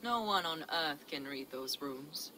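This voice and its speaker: A deep female voice, playing a devout and secretive heretic in a game. She speaks in measured, archaic riddles, with clear ambience behind her. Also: expressive, bright, forceful tone, a little hiss or hum, full character voice, low-quality recording. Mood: cryptic. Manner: measured, cryptic, archaic phrasing. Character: enigmatic, devout heretic woman, collector of sacred relics. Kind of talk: dramatic video game acting.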